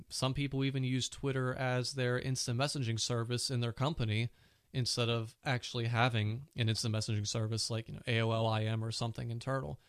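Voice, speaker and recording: A man's voice: medium pitch, clear, resonant tone, dry recording, clean studio sound. A man hosting his own casual tech podcast, chatty and full of fillers, with a cold and a sore throat. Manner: chatty, many "you know" fillers. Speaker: podcast host.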